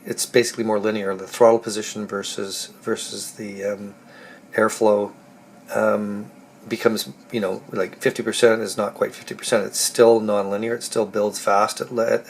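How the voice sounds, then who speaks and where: Hoarse man with a flat, low voice. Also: a little hiss or hum. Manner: halting, thinking aloud with many pauses. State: hoarse from a cold. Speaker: car builder explaining engine tuning. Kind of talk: casual technical walkthrough.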